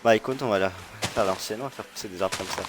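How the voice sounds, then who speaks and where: A young man chatting casually and excitedly while playing a video game with a friend. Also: on the low side, racing, music in the background. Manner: lively, jokey, bursts into loud exclamations. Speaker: Minecraft let's player, channel host. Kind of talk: casual gaming chat with a friend.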